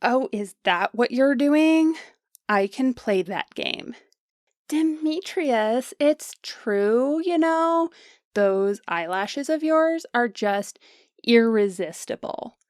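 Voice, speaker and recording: A woman's voice: on the high side, expressive, slow, darkish tone, dry recording, clean studio sound. A woman playing a confident, pushy young beauty influencer with a gushing, theatrical manner in a modern comedic audio drama. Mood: gushing, flattering.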